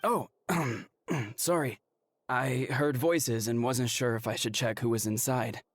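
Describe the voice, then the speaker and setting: An awkward, apologetic bystander in a video game. The voice is extremely low and slow, halting and hesitant, over clear background noise. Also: female voice. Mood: sheepish. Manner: halting, throat-clearing, evasive.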